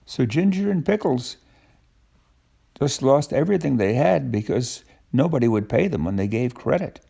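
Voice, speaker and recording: Gravelly older man reading a storybook. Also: mid-range voice, animated, moderate pace, mellow. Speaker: storybook narrator.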